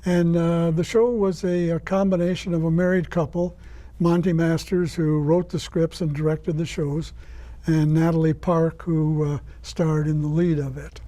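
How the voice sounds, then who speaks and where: A man answering interview questions as a guest, explaining things in a measured, informative way. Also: a little high, level tone, dark tone. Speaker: guest, writer and director of radio plays.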